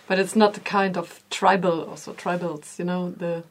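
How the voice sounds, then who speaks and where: A woman's voice: on the low side, steady tone, moderate pace, a little hiss or hum. Hesitant woman hosting a studio interview. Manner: hesitant, apologetic, careful English. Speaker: radio show host. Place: radio studio.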